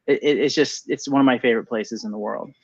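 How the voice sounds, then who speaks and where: An enthusiastic man talks loosely in a webinar, often restarting his sentences. His voice sits at an ordinary pitch. The audio is slightly dull and lo-fi, as over a video call. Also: quick pace, darkish tone, husky. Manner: enthusiastic, rambling with many repeated starts. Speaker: venture capital partner and co-host. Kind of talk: casual webinar conversation.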